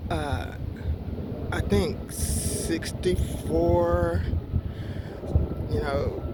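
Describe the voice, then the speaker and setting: A woman in her sixties recounts her experience in a slow, unhurried way, stopping often to search for words and correct herself. She speaks outdoors in a park, and wind and street noise are clearly heard behind her voice. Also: low voice, steady tone. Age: 60s. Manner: halting and rambling, many fillers, corrects herself. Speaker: vlogger. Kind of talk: casual personal storytelling.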